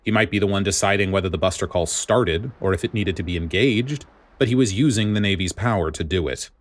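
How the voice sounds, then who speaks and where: A male narrator reads scripted fiction aloud. His voice is deep and low, and he delivers the prose as storytelling. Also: fairly quick.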